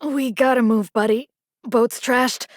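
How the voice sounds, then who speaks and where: A woman's voice: on the high side, fairly even intonation, unhurried, dry recording, close to an everyday voice. A woman playing a plucky, wry game fighter, close and dry. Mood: urgent, determined. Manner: casual, sardonic. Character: plucky, wry young woman rescue fighter, protective and casual. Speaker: video game voice actor. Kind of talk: video game voice lines.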